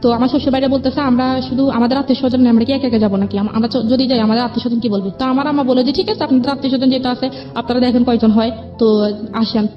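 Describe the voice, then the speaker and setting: A woman's voice: on the high side, steady tone, rapid-fire. A young woman tells her own life story as an interview guest, rambling, repeating herself and quoting conversations. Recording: dull lo-fi audio with music behind.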